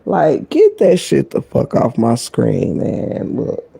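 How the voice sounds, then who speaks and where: A woman with a deep, croaky voice giving sassy, blunt live-stream gossip commentary, full of cursing and kept low so she stays quiet. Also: huge pitch swings, slow pace. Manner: talking low to keep quiet.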